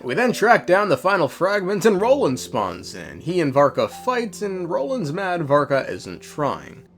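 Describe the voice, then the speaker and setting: A man's voice: fairly high pitch, wildly swooping pitch, unhurried. A man narrating a scripted story recap in an irreverent, slangy, tongue-in-cheek way. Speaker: video creator recapping game lore.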